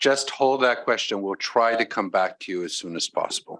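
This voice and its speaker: Casting: man with a lowish voice, polite panel moderator, halting delivery. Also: fairly bright tone.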